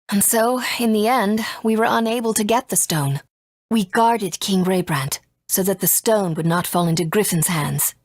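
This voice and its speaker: An actress voicing a gentle, solemn mother in a video game, speaking in a measured, earnest way. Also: mid-range voice, husky, dry recording, clean studio sound. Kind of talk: scripted game exposition.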